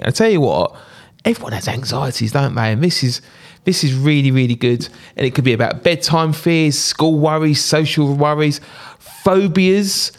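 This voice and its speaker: Chatty man giving school notices. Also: mid-range voice. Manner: chatty and upbeat, jokey asides. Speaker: school staff member hosting a school podcast. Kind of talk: casual announcements to parents.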